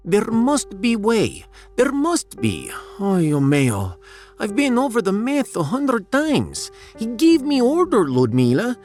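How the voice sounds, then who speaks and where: A man's voice: sits high, wildly swooping pitch, slow pace, a little mellow, soft background music, exaggerated voice. A man playing a fretful, self-doubting, dreamy scientist with a Russian accent in a theatrical sci-fi audio drama. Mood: despairing.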